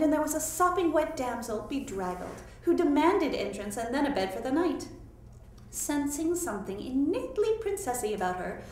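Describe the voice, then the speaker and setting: Casting: female storyteller, higher-pitched, theatrical comic reading, recorded from afar in a very echoey room. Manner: expressive, theatrical. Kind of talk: humorous scripted storytelling. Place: library meeting room. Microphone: far camera. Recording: echoey room, far from mic, noisy.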